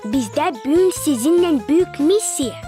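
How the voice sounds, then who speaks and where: A very high, childlike cartoon voice for a young male puppy. He is eager, polite and affectionate, a devoted little son. Light music plays underneath. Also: animated, a little slow. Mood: friendly, excited.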